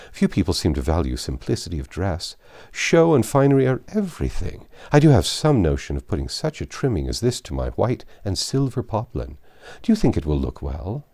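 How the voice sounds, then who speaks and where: A man's voice: low voice, darkish tone, no echo, clean studio sound, exaggerated voice. A man voicing a vain, affected young bride in an audiobook, chattering with self-satisfied false modesty. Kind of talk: audiobook character dialogue.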